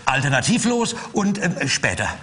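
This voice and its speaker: A man's voice: a little high, sing-song, normal speed, bright, forceful tone. An actor playing a baffled, hesitant man in a cabaret sketch. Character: baffled male cabaret performer.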